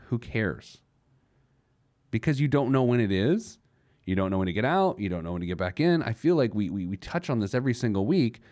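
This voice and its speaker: Low-voiced, animated male money show host. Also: dry recording, studio quality. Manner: emphatic, repeats phrases for stress. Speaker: host of a personal finance show. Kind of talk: informal opinionated radio talk on money.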